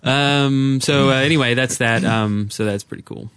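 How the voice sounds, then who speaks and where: A man co-hosting a casual gaming podcast, talking in a halting, rambling way. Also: mid-range voice, fairly bright tone, clean tone, no room sound. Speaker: podcast co-host.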